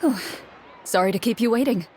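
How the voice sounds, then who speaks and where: A woman voicing a composed, reserved female duelist who speaks in a calm, measured, formal way. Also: a little high, noisy background, natural voice. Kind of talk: video game voice acting.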